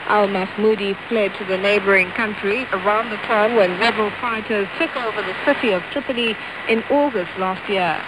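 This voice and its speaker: A woman's voice: mid-range voice, normal speed, hardly any pauses. A woman reading scripted news on the radio, received over shortwave. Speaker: radio newsreader. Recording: very noisy shortwave radio reception.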